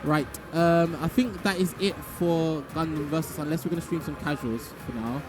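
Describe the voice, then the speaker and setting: A man's voice: a little high, music in the background. A man hosting a live stream and interviewing players in a loose, casual way. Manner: rapid and loose, with many fillers and restarts.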